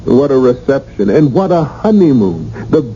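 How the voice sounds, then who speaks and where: A man's voice: medium pitch, very animated, normal speed, dark tone, lightly acted. An actor playing an eager, greedy young husband and businessman in a radio drama, insistent and emotional. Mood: cocky, eager. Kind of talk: 1980 radio drama acting. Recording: dull, noisy old radio broadcast recording.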